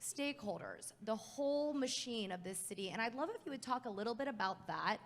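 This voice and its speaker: A woman's voice: a little high, expressive, fairly quick. A woman moderating a live conference panel, upbeat and emphatic in her delivery.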